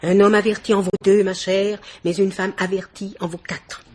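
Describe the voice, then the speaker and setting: An actress playing an imperious, chattering middle-aged society hostess in a theatrical, exclamatory French radio mystery play. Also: female voice, medium pitch, level tone, normal speed, slight background noise, close to an everyday voice. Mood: brisk, teasing.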